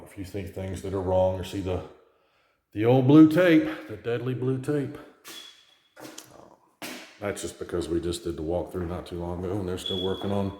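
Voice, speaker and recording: A real estate agent with a hoarse, worn voice, chatting casually as he walks through an empty new house. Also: low-pitched, slight background noise. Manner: chatty, rambling, self-deprecating. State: hoarse, losing his voice. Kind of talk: casual home tour vlog. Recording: echoey empty rooms, faint smoke alarm chirps.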